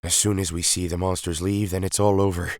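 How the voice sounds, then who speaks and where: A man's voice: low voice, level tone, fairly quick, dry recording, studio quality, natural voice. A man voicing a grave, emphatic Time Lord hero in an audio story, urgent and commanding.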